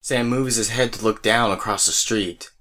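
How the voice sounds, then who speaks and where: A man's voice: lowish voice, fairly even intonation, moderate pace, bright, forceful tone. A man narrating a scripted audio drama as a storyteller who speaks straight to the listener. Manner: storyteller addressing listeners directly.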